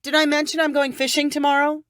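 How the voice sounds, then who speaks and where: A woman voicing a confident, teasing professional woman lawyer, brisk and assured, in a short story. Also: sits high, little pitch movement, a bit bright, dry recording, clean studio sound, pitched above the performer's own voice. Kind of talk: audiobook character dialogue.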